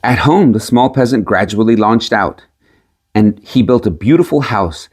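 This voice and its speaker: A man's voice: medium pitch, animated, normal speed, a little mellow, breathy edge. A man reading a storybook tale aloud as scripted narration. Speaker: audiobook reader.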